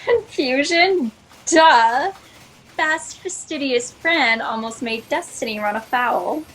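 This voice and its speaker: A woman's voice: high voice, lively intonation, slow, fairly bright tone, long reverb tail, exaggerated voice. A woman playing a bored, haughty, sardonic shapeshifting bird in a sci-fi satire audio drama. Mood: bored, sulky.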